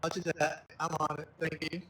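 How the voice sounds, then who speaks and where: Monotone guy pilot, short replies on voice chat, faint and far from mic. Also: a little high, brisk. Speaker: amateur pilot in online flight simulator.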